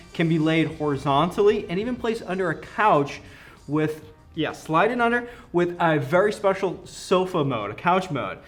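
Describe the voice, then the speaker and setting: A man working as a company product representative, explaining a product enthusiastically in a conversational interview. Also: fairly high pitch. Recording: music playing behind the voice.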